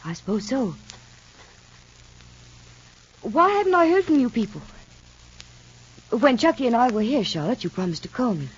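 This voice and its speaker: An actress playing a worried older matriarch in a 1950s radio soap, with an anxious, probing manner. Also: female voice, on the high side, sing-song, moderate pace, pauses often, slightly rough. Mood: worried, hurt. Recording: muffled old radio recording.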